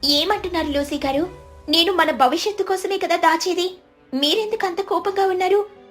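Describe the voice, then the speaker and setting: A meek young sparrow wife from a cartoon story voiced by a woman, high-pitched and earnest. She sounds plaintive and anxious, over faint background music. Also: normal speed, fairly bright tone. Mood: hurt, bewildered.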